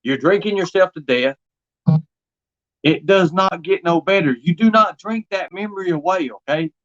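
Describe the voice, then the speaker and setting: A man's voice: high voice, moderate pace, fairly bright tone, dull audio. A man livestreaming in an insistent, repetitive, confrontational way. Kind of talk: impassioned monologue to an absent person.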